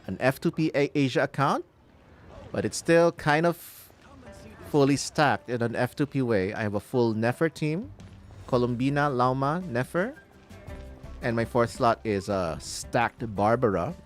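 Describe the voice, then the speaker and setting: A man's voice: mid-range voice, lively intonation, a little slow. A middle-aged man from the Philippines giving casual, rambling commentary on a livestream as he plays a game. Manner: chatty, rambling. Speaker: game streamer. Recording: game music behind.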